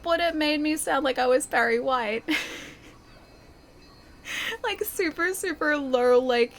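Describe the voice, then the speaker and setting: A woman's voice: sits high, slow, a little hiss or hum. A woman livestreamer chatting casually while playing a game, reading viewer comments aloud and giggling often. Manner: chatty and giggly, with many fillers like "like" and "dude".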